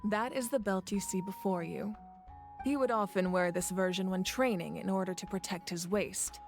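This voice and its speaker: A woman's voice: mid-range voice, gravelly, soft background music, lightly acted. Composed warrior woman telling tales played by an actress. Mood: explanatory. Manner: measured, thoughtful storytelling. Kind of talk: video game story dialogue.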